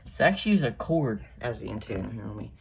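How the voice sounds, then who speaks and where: Guy showing off his stuff, gravelly voice, rambly and halting, noisy room w/ clicks. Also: on the low side, very animated. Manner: halting, rambling, self-correcting. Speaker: radio collector showing a collection. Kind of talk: casual hands-on show-and-tell.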